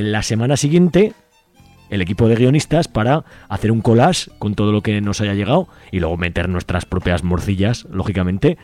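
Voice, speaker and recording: A man's voice: on the low side, a little mellow. A man with a Castilian accent from Spain, presenting casually as a radio host in a chatty, colloquial way. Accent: Spanish from Spain.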